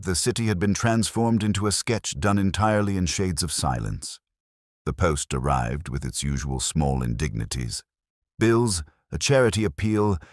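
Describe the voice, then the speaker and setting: A man playing a melancholy older English police detective, narrating a literary mystery in a measured, brooding, deliberate way. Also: rumbling low, dry recording, studio quality, exaggerated voice. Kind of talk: scripted literary mystery narration, radio drama style.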